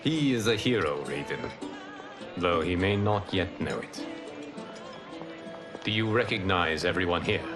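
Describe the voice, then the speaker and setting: A deep, calm mentor. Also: male voice, over music. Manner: calm, measured. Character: calm wise male mentor, reassuring. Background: game score.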